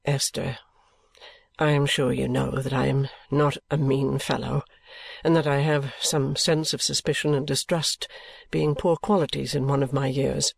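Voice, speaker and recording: A man playing an affectionate but bitter, evasive young gentleman, earnest and weary, in acted audiobook dialogue from a classic novel. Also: a little high, fairly even intonation, unhurried, creaky, no room sound. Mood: subdued, affectionate.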